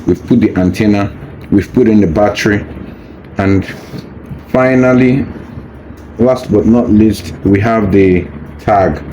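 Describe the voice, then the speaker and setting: A man's voice: on the low side, slow, pauses often, dark tone, highs cut off. A man patiently walking through a hands-on unboxing tutorial, casual and reassuring, repeating his steps as he goes. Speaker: product demonstrator. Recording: faint background noise.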